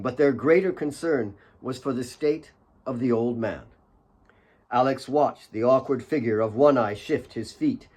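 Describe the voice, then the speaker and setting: A man's voice: medium pitch, a little mellow. A man reading his own fiction as a scripted, dramatic audiobook narration.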